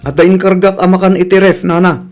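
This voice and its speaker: A man's voice: high-pitched, little pitch movement, fast talker, over music, close to an everyday voice. A man playing a young, self-interested live-in boyfriend in a radio drama, with an Ilocano accent. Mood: curt.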